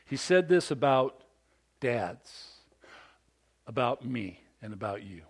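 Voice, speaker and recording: Animated preacher man with a big voice. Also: medium pitch, slow, creaky, slightly rough. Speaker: pastor. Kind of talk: sermon.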